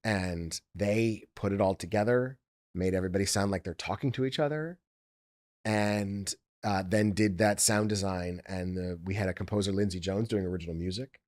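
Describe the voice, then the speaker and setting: A man's voice: deep voice, normal speed, darkish tone. A man, an audiobook producer, talking casually and explaining his work step by step.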